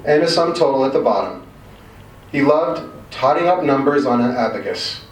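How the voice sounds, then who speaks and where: A man's voice: mid-range voice, animated, normal speed. Male storyteller in a roomy, distant recording. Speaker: reader of a short story at a live reading. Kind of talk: expressive literary story reading. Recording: roomy, distant mic, faint background noise.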